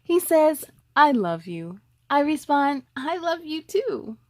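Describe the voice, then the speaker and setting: Female children's read-aloud host, very high voice, animated sing-song delivery, speaks directly to kids. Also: slow pace. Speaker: host of a children's story time show.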